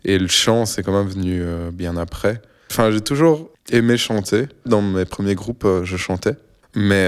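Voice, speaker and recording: A young Belgian musician speaking in a low voice with little rise and fall. He answers interview questions casually, pausing often with fillers. His manner is relaxed and unhurried. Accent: Belgian French. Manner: relaxed, hesitant, many fillers.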